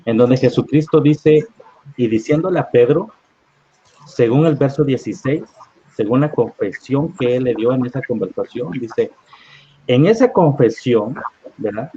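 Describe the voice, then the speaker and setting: A man teaching a Bible study over a live online stream, his voice sitting in the middle of the male range. He reads verses slowly and keeps asking his listeners "¿verdad?". The audio is dull and lo-fi, like a video call. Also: mid-range voice, animated, mellow, breathy edge. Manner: unhurried, reads scripture aloud, often adds "¿verdad?".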